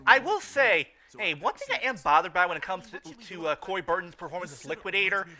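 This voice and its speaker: A man with a high, very bright and piercing voice riffs on a cartoon as a host. He is excitable and exclamatory, often tripping over and repeating phrases, with wide swings in pitch. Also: fast. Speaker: podcast host riffing on a cartoon. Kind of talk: excitable film-riffing commentary.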